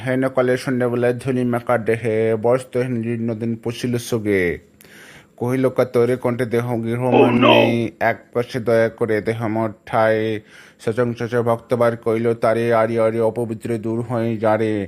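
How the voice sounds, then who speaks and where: Man playing a monotone, dutiful cartoon schoolboy. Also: mid-range voice, normal speed, lightly acted. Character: schoolboy dutifully reciting and defending himself. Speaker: voice actor in a comedy cartoon. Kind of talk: comedy cartoon dialogue and recitation.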